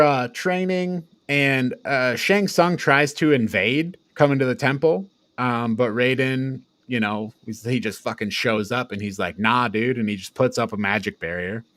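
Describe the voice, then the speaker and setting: A man's voice: medium pitch, unhurried, no echo. A man co-hosting a podcast, retelling a film in casual, rambling, sweary chat with frequent chuckles. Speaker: podcast co-host.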